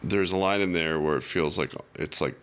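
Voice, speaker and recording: A man talking reflectively and casually as a podcast guest, in a halting, musing way with many fillers. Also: low voice, fairly bright tone.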